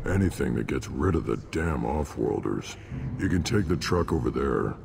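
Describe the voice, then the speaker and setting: An actor playing a surly, blunt alien warrior in a video game, gruff and dismissive, with a deep gravelly voice. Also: male voice, expressive, normal speed, heavily acted. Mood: scornful, gruff. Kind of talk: video game character acting. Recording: long reverb, clear background noise.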